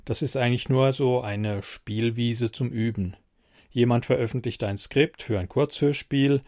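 A man's voice: mid-range voice, unhurried, a little mellow, no room sound, studio quality. A man hosting a podcast, giving an explanatory introduction in a narrating style. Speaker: podcast host.

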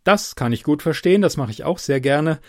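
A man from the Mannheim area with a softened Palatine German accent, hosting a casual podcast and reading a literary anecdote aloud. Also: fairly high pitch, expressive, dry recording, studio quality. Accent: Palatine German, softened by speech training. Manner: chatty, unhurried, reads with dramatic emphasis. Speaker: podcast host and reader.

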